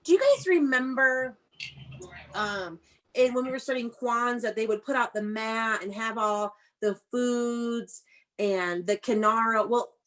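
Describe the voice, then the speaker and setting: A woman's voice: on the high side, a bit bright. A woman teacher reading aloud to young children in an interactive video-call lesson, instructing and prompting with questions and repetition. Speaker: elementary school teacher.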